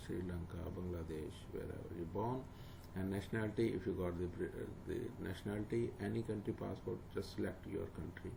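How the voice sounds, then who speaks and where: A man who speaks as a guides a viewer through an online form, repeating steps plainly with fillers. His voice is low and gravelly with little rise and fall. Steady hiss and noise sit under the recording. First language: not English. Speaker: tutor. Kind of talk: screen-recorded walkthrough. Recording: noisy home recording.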